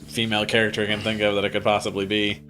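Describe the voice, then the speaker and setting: A man's voice: fairly low pitch, monotone, racing, sharp tone. A man in his late twenties co-hosting a game playthrough, chatting casually with wry jokes and goofy outbursts. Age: late 20s. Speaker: let's play co-host. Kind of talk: casual gameplay chat. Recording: music playing under the voice.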